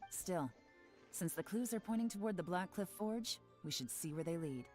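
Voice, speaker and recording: A woman voicing a composed adult female spy who investigates calmly and speaks in a measured, knowing way in a video game. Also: fairly low pitch, faint music behind, close to an everyday voice. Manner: calm, measured, quietly knowing.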